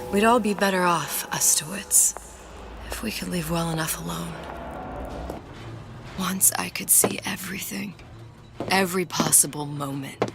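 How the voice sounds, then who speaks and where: A woman's voice: lowish voice, creaky. An actress playing a haunted, determined young woman in a video game, clever and hesitant as she thinks aloud. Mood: pensive, troubled. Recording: game audio under loud music.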